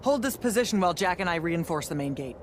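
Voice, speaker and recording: A woman acts a brisk battlemage commander in a fantasy game. Her delivery is stern and clipped, full of authority, with noticeable ambience behind it. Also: on the low side, expressive, noisy background, natural voice. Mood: terse, skeptical. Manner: terse, commanding. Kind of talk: video game acting.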